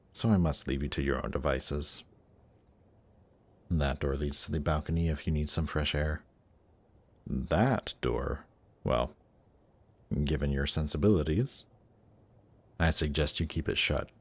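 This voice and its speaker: Casting: male actor for suave demon prince of Hell, extremely low voice, deliberate and tempting, intimate fantasy audio roleplay. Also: no echo, heavily acted. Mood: suave, teasing. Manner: slow, seductive, deliberate, gently coaxing. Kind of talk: intimate audio roleplay, scripted monologue to listener.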